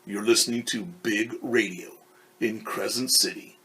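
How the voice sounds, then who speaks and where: A man's voice: on the low side, unhurried, a bit bright, breathy edge, low-quality recording. Man reading as a friendly radio announcer. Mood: upbeat.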